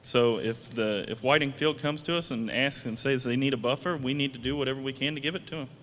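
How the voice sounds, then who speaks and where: Plain-spoken man in a hall. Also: a bit bright. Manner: plain-spoken, halting with restarts and fillers. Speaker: county commission candidate. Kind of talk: candidate debate answers. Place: event hall, PA sound system. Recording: long hall reverb, faint rumble.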